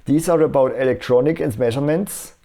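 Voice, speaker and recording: A man presenting an electronics tutorial in scripted, explanatory narration with a measured, instructional manner. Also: mid-range voice, creaky, background noise.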